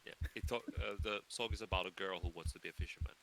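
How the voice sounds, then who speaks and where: A man with a Finnish accent, a native Finnish speaker, teasing his friends in a playful, deadpan way during a gaming stream. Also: sits low, very fast, a crowd in the background. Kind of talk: casual chat while gaming.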